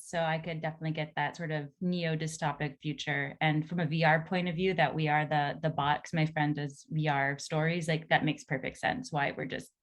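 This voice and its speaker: A woman speaking casually on a video call, thinking aloud as she gives feedback and often saying "like". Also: lowish voice. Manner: thinking aloud, heavy use of "like". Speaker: table read participant giving feedback. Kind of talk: casual spoken feedback on a script.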